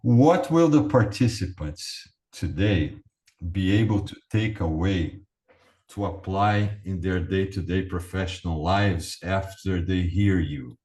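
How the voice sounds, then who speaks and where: Low-pitched male host who moderates the session and asks the guest questions. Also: slow, a little mellow. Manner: brief affirming interjections. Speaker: webinar host. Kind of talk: hosting a webinar.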